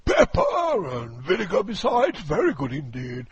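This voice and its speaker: A booming, pompous old walrus. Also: male voice, huge pitch swings, measured pace, lo-fi sound, heavily acted. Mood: grand. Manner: theatrical, declaiming and shouting. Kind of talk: storybook poem reading.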